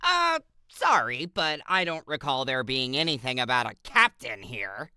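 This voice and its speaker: A woman's voice: rumbling low, sing-song, measured pace, sharp tone, clear, resonant tone, no room sound, clean studio sound, heavily acted. A woman voicing an awkward, pedantic internet moderator type in a cartoon. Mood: objecting. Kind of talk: cartoon character dialogue.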